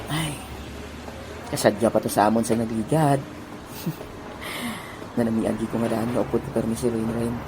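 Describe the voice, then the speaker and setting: A man voicing a shy high school boy who is secretly in love, talking softly with nervous little laughs, in an amateur audio drama. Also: noisy background, lightly acted. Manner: soft-spoken, nervous laughs. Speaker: voice actor. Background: background music.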